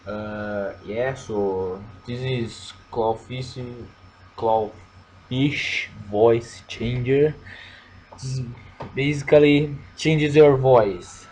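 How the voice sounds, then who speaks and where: A man with a Brazilian accent, a native Portuguese speaker, giving a casual, hesitant software demo. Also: medium pitch. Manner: halting, hesitant. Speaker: amateur video maker demonstrating software. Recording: noisy amateur microphone, background noise.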